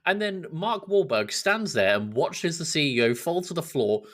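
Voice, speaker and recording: A man co-hosting a podcast, talking casually about films in an animated, mocking storytelling way. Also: fairly high pitch, normal speed. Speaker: podcast co-host. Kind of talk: casual film discussion.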